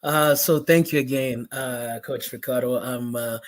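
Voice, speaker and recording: The sort of man heard as a guest on an inspirational interview show, a pastor giving earnest, measured testimony in a level tone. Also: mid-range voice, fairly even intonation. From Kenya. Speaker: guest, pastor and life coach.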